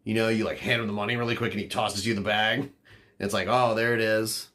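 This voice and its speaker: A man's voice: lowish voice, fairly even intonation, fast, a bit bright. A man from the northern United States, a podcast host rambling casually with lots of fillers. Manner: rambling, filler-heavy, offhand. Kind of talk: casual chat answering chat.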